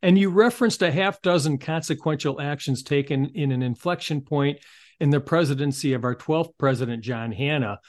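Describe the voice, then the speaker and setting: A man's voice: on the high side, normal speed, a little mellow. A man hosting an interview show, giving polished, supportive segues and prompts with a promotional touch.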